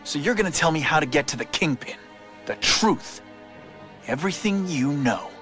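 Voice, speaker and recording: A young superhero in a video game. The voice sits high and swings widely in pitch, quippy and indignant with a sarcastic edge. Music plays behind it. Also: male voice, animated, moderate pace, fairly bright tone. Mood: sardonic, firm.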